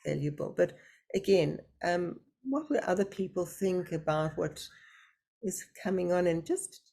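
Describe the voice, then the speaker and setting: A gentle, thoughtful woman guides a group conversation over a video call. Her voice is mellow and a little husky, and she speaks in a slightly low register. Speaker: facilitator of an online group discussion. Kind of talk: reflective group discussion.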